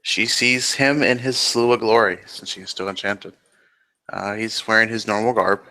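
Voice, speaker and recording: A man describes his character's actions in a tabletop roleplaying game. His voice is a little low, with a bright, tense edge to it. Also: steady tone, normal speed, poor audio.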